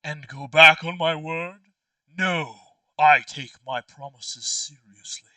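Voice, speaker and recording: A man voicing a hired wizard in a party game, speaking with polite, measured menace. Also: a little high, pushed and bright, no echo, distant mic. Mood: offended.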